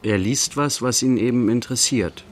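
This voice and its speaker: An actor playing a sober narrator in a literary radio play, speaking in short, measured declarative phrases. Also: male voice, mid-range voice, natural voice. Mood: lyrical observing. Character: sober male narrator observing an artist closely.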